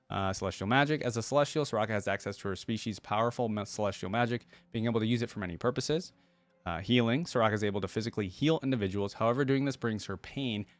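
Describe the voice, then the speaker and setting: A man hosting a reaction video, reading text aloud and thinking out loud in casual asides between passages. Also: lowish voice, no echo.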